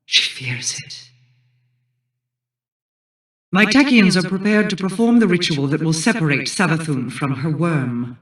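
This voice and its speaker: A woman's voice: low voice, unhurried, long pauses. An actress playing a regal, guarded fantasy queen in a video game, speaking in a formal, imperious manner. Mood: grave, brooding. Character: regal, solemn, guarded Awoken queen, vengeful and commanding. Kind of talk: scripted video game dialogue. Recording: echoing, distant-sounding game voice.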